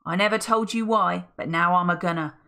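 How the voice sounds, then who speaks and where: Storybook villain, old man, coarse and boastful, gravelly, read by a woman. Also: lowish voice, fairly quick, pushed and bright. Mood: scheming. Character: gruff nasty old male villain.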